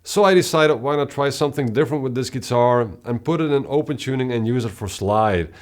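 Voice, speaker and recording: Man, deep voice, guitarist host, casual explanatory talk, moderate pitch, occasional ums. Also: fairly quick. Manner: steady, explanatory, with occasional filler sounds. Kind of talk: casual gear talk to camera.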